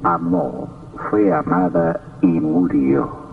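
An actor playing a sinister, darkly gloating count who narrates an old radio horror drama in a theatrical, macabre manner. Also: male voice, low voice, wildly swooping pitch, slow, vocal fry, a little hiss or hum, full character voice. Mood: macabre, brooding. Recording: tinny, distant old radio recording.

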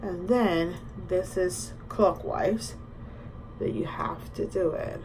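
A woman's voice: lowish voice, slow pace. A woman, chatting casually like a bilingual vlogger while going through a routine. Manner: relaxed, switches between Spanish and English. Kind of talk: casual bilingual vlog, narrating a skincare routine. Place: small indoor bathroom. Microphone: camera or phone at about arm's length. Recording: clearly noisy home bathroom recording.

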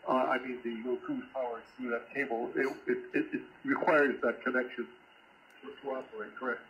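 A man chats casually over shortwave radio. His voice is medium in pitch, carried through a small speaker with a light hiss of static. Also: fairly even intonation, fairly quick, highs cut off. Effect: shortwave single-sideband radio. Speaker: amateur radio operator. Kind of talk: casual on-air chat.

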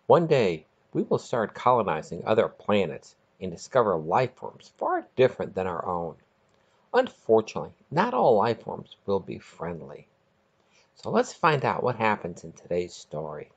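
A husky male podcast narrator with a very animated pitch. He reads scripted material with dramatic emphasis, like a storyteller, on a slightly dull lo-fi recording.

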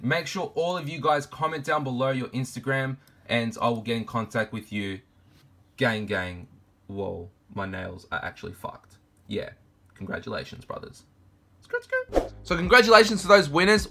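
A man of twenty-two, vlogging casually to camera in his bedroom, laid-back and slangy, his voice deep from just waking up. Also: bright, forceful tone. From Argentina, raised in Australia. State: deep morning voice, just woke up. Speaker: fashion YouTuber. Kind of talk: casual vlog Q&A. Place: bedroom with shoe shelves, indoors.

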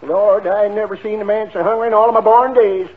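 An actor playing an eager, folksy country sheriff with rustic talk in a 1940s radio comedy. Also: male voice, high-pitched, steady tone, fairly quick, natural voice. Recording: tinny 1940 radio broadcast.

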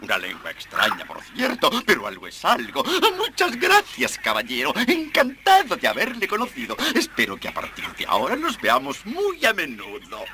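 An actor playing an eccentric elderly botanist professor in a cartoon, pompous, fussy and full of exclamations. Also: male voice, fairly high pitch, huge pitch swings, normal speed, few pauses, bright, forceful tone, a little hiss or hum, exaggerated voice. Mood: polite, befuddled. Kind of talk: animated cartoon dub acting.

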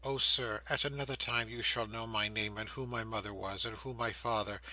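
A tender young daughter voiced by a male narrator pleads solemnly in a forward, slightly husky voice. She is gentle and devoted, repeating her entreaties like a heroine in a classic novel read aloud. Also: lowish voice, steady tone, moderate pace, no room sound, low-quality recording. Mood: tender pleading. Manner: imploring, repetitive, solemn.

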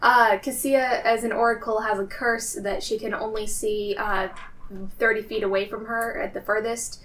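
A woman's voice: a little high, fairly even intonation, normal speed, fairly bright tone. A woman chatting casually as a player at a tabletop game. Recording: distant mic with clear background noise.

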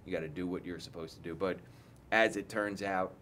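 A man's voice: low voice, steady tone, brisk, fairly bright tone, slight background noise. A man in his forties, a head coach, giving candid, rambling post-game answers full of restarts, seated at a table mic in an indoor press room.

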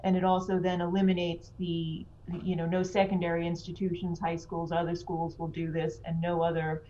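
A woman on a video call calmly explaining procedure to a planning board at a public meeting. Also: lowish voice, a little hiss or hum. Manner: measured, explanatory. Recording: dull lo-fi video call audio.

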